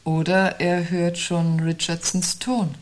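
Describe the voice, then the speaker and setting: An actress playing a sceptical, uneasy woman in a literary radio play, with a husky voice and a dry, probing, measured delivery. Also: lowish voice, flat delivery. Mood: wry, pensive. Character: sceptical, wry, uneasy woman waiting out looming doom.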